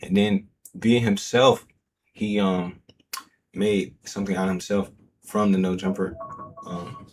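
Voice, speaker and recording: A man's voice: deep voice, steady tone, a little slow, pauses often, a little mellow, a little hiss or hum. A man hosting a reaction show, talking loosely in slangy casual commentary full of fillers. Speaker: host.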